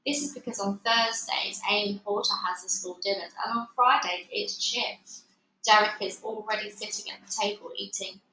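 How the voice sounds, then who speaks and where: A woman reading a children's book aloud in an expressive, dramatic storytelling style. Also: mid-range voice, normal speed. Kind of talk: animated read-aloud narration. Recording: echoey room, faint background noise.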